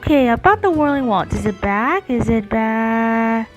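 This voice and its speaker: A woman's voice: on the high side, animated, unhurried. A woman casually commentating on gameplay as the host of a gaming channel. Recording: game music clearly behind voice.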